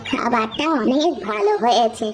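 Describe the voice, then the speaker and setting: A woman's voice: a little high, normal speed, natural voice. A woman doing a kindly old beggar woman. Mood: gentle. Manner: affectionate and motherly, gives gentle advice. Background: background music.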